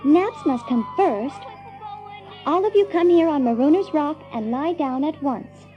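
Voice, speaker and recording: A woman playing a young girl who acts the gentle, firm little mother in an audiobook drama. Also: high voice, animated, a little slow, lo-fi sound, soft background music. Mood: motherly firmness.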